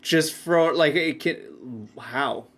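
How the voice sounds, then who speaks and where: A man hosting a podcast, putting in brief, interested, slightly halting questions and interjections in a casual way. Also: on the high side, a bit bright. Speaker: podcast host.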